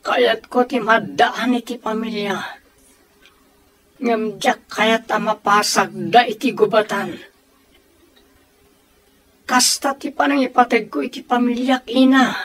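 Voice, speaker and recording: A woman's voice: fairly high pitch, fairly even intonation, slow, pauses often, a bit bright, rough recording. A woman acting in an Ilocano radio drama as an earnest grown child who pleads solemnly and emphatically for peace with warring parents. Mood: earnest, pleading.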